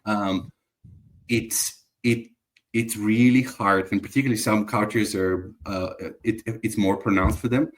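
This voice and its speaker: A man's voice: fairly low pitch, steady tone, a little slow. A man from Bulgaria, a guest entrepreneur, talking in a reflective and earnest way, with frequent restarts. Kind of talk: casual conversational interview.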